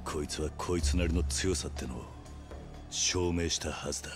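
An actor playing a stoic veteran gangster in a game, blunt, grave and commanding. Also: male voice, extremely low pitch, normal speed, soft background music. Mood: grave, defiant. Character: stern, stoic veteran yakuza tough guy. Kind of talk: video game cutscene acting.

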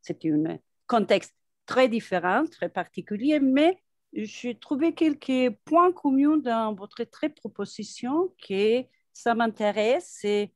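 A woman's voice: fairly high pitch, lively intonation, slow pace, no room sound. Woman in her forties, dry headset sound, careful questioner on a video call. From Argentina. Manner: searching for words, thoughtful. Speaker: psychoanalyst asking a question. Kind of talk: online panel discussion. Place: home room with bookshelves.

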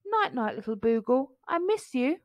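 A woman's voice: sits high, very animated, moderate pace. A woman voicing a shy, neat, easily frightened male alien who exclaims and squeals. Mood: wistful.

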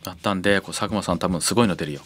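A man's voice: low-pitched, racing, fairly bright tone, husky. A man hosting a radio talk show in a casual, interested, conversational manner.

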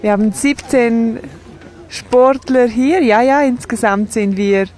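A woman voicing a sign language sports report and interviews in a measured, steady way. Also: fairly high pitch.

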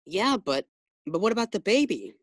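A man's voice: high-pitched, big pitch swings, moderate pace, fairly bright tone, no echo, clean studio sound, heavily acted. A man playing a flustered, boastful, naive husband who stammers when rattled, in an old-time radio sitcom style.

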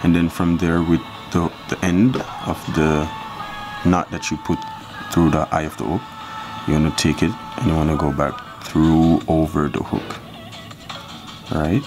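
Deep-voiced man teaching over music. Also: unhurried. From Jamaica. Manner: relaxed, step-by-step instruction with counting. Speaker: fishing channel host. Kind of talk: casual hands-on tutorial.

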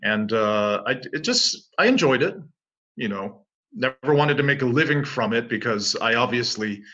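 A man in his forties, from Canada, speaks as a relaxed, self-assured interview guest. His voice is bright and forceful, heard through a dull, lo-fi recording. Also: medium pitch, normal speed. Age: 40s. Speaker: guest, martial arts teacher. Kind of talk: casual interview.

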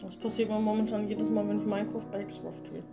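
A woman's voice: mid-range voice, flat delivery, fast talker, gravelly. A woman chatting casually as a let's player while she plays a game. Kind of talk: casual gameplay chat. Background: music.

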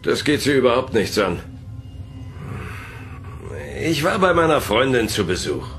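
A man's voice: medium pitch, very animated, unhurried, fairly bright tone, soft background music. A man playing an angry, defiant captive in a science fiction audio drama. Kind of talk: German audio drama acting.

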